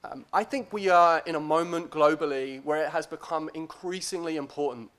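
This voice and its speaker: A man with a slightly high voice delivers an academic conference lecture. His manner is deliberate and a little hesitant, with a modest, self-deprecating air as he addresses his listeners. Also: fairly bright tone, clear, resonant tone. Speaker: academic guest speaker.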